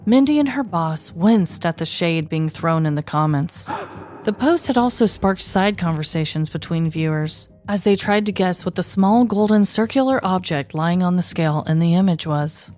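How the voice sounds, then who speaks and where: A woman's voice: lowish voice, lively intonation, moderate pace, darkish tone, soft background music. An American woman co-hosting a podcast, reading a scripted true-crime story. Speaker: podcast co-host.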